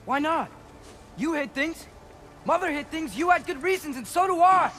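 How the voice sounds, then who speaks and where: An actor playing an earnest, defiant teenage son in a video game drama, youthful and insistent. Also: male voice, very high voice, lively intonation, normal speed, background noise. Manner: questioning, insistent.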